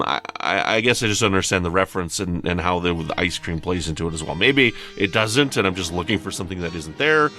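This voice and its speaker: A man with a low voice gives a casual, spoken review as a listener. He talks hesitantly and rambles, with music clearly present in the recording. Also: pushed and bright. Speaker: listener leaving feedback for a podcast.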